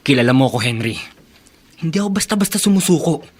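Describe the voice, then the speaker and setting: Young male romantic lead, cocky and lovestruck, very lively intonation. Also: fairly high pitch, quick pace, breathy edge. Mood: cocky, determined. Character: cocky, lovestruck young man pining for his girlfriend. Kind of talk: Tagalog radio drama acting.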